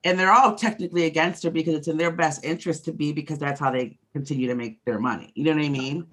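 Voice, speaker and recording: A woman with a deep voice co-hosting a podcast, chatting frankly and speculating, with the odd swear. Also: fast talker, a bit bright, breathy edge. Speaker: podcast co-host. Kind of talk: casual chat.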